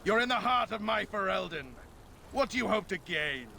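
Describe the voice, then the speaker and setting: An actor playing a contemptuous, warmongering military commander, a sneering and declamatory villain in a video game. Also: male voice, sits high, lively intonation, normal speed, vocal fry, background noise. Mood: scornful, menacing.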